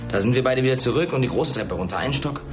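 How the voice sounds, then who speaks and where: A man's voice: medium pitch, expressive, racing, over music, close to an everyday voice. A young man playing a guarded, streetwise teenage schoolboy with terse, slangy delivery in a German radio drama. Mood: recounting, tense.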